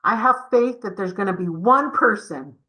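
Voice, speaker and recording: A woman's voice: mid-range voice, animated, normal speed, sharp tone, rough recording. A woman giving a community webinar presentation over a video call, encouraging and addressing the audience with questions.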